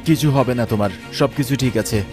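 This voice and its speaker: An actor playing a brave, protective army officer in a horror cartoon, speaking in an emphatic, reassuring way. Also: male voice, medium pitch, fairly quick, lightly acted. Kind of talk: dramatized horror cartoon voice acting. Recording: background music under the voice.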